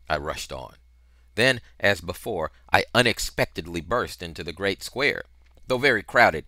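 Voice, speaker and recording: A middle-aged man narrating a novel in an expressive, dramatic style, with emphatic, deliberate phrasing on a headset mic. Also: deep voice, dry recording. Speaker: reader of a children's novel.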